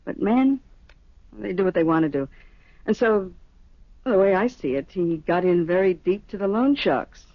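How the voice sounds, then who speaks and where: An actress playing a breezy, worldly young mistress in a radio drama, speaking in casual, matter-of-fact slang. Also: female voice, mid-range voice, lively intonation, moderate pace, long pauses, no echo. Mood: frank, casual. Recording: dull vintage radio recording.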